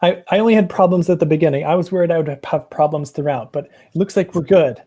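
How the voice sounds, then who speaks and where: Young american guy on a video call w earbuds, mellow warm voice, lots of ums and restarts. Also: fairly high pitch, fast. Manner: rambling, many ums and restarts. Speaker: presenter. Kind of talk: casual video call chat. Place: small room at home.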